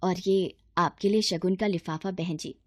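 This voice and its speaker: A woman's voice: on the low side, steady tone, normal speed, a little mellow, dry recording, clean studio sound, close to an everyday voice. A woman voicing a humble middle-aged mother in a moral story, meek and apologetic in manner.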